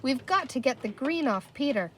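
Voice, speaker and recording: A woman reading a children's storybook, voicing a bossy, practical young girl who gives crisp instructions. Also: high voice, lively intonation, moderate pace, a bit bright, full character voice. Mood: brisk.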